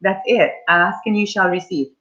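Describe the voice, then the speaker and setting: A woman's voice: mid-range voice, moderate pace, pushed and bright, muffled recording. A woman in her forties, a money mindset coach, leading a live online coaching session and speaking to her audience in an upbeat, encouraging way. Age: 40s.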